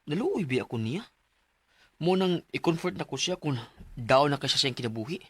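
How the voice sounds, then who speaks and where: A man's voice: fairly high pitch, lively intonation, moderate pace, a bit bright, breathy edge, no room sound. An actor playing an earnest, lovestruck male student, speaking expressively in a radio drama over music. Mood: troubled. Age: young adult. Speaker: letter narrator and lead character. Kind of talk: radio drama acting and narration. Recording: music under the voice.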